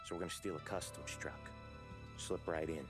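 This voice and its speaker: An actor playing a level-headed young mobster who leads his crew, talking in a calm, blunt voice. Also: rumbling low, moderate pace, music in the background. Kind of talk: video game cutscene acting. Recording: game cutscene with loud score.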